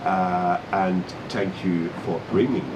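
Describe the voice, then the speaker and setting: A man whose first language is Finnish, speaking English with a Finnish accent in a Santa Claus role, talking slowly with many fillers. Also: deep voice, steady tone. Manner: slow, hesitant, with many fillers. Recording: noisy room ambience.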